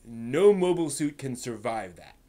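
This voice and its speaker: A man with a slightly high voice hosting a casual anime review, explaining in a halting way with repeated words and emphatic asides. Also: lively intonation, crowd noise. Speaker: host reviewing anime on a live stream.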